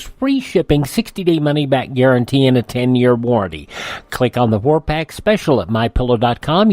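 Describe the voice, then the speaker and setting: A man's voice: mid-range voice, darkish tone, dry recording. A man reading scripted announcements and promotions as a radio show host.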